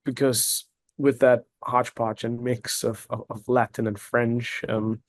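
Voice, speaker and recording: Brief: a thoughtful German-native man, medium pitch, a guest in a casual chat. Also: little pitch movement, measured pace, no room sound. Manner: reflective, repeats words while searching for phrasing. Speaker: guest, cigar company executive. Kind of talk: casual conversational interview.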